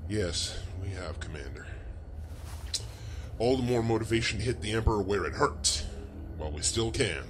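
An actor playing an authoritative, amiable rebel ship captain in a businesslike manner in a sci-fi audio drama. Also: male voice, on the low side, animated, normal speed, over music, lightly acted. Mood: grave.

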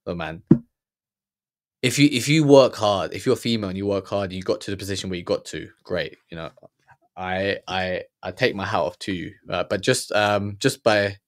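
Male host, 30s, lowish voice; blunt heated reaction commentary, stammering restarts, close studio mic. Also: normal speed. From Germany. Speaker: podcast host reacting to a documentary. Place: small room with purple lighting. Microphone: studio mic on boom, earphones.

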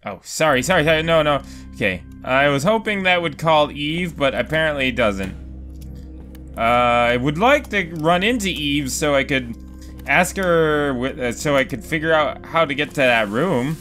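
A man's voice: on the high side, expressive, moderate pace, fairly bright tone, soft background music. A young man casually commenting on a horror game he is playing, rambling, swearing often and talking to himself. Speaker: gaming video host. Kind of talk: casual let's play commentary. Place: home room.

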